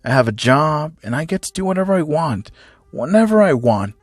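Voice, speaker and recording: A man voicing a cruel, sneering villainous father who speaks in a deliberate, mocking way. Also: a little high, very animated, no echo. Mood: coaxing.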